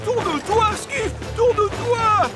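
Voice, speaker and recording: A man voicing a pompous, panicky older gentleman in a French cartoon dub, stammering and melodramatic as he grumbles. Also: extremely high pitch, expressive, slow, loud music behind the voice, exaggerated voice. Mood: panicked.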